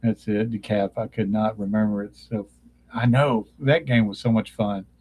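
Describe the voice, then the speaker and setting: Low-voiced man rambling on a live stream. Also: fairly even intonation, moderate pace, a little mellow, husky. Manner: meandering, nostalgic, searches for names mid-sentence. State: voice getting dry from long talking. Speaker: live streamer chatting with viewers. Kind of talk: casual rambling chat. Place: his childhood bedroom at home.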